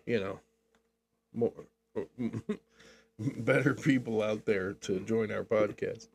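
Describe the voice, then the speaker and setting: An older man with a lowish voice talks loosely and rambles, joking and chuckling as he goes. A faint hum sits under his voice. His tone stays easygoing and conversational. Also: slow, creaky. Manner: rambling, jokey, laughs often. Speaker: podcast co-host. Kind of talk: casual chat.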